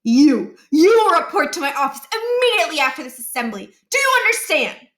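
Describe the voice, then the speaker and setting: A humourless older school principal, acted out by a woman reading a storybook, pitched high for emphasis. He is pompous and commanding, issuing formal, clipped pronouncements. The recording has a roomy echo. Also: very animated, brisk, a bit bright. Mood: angry, commanding.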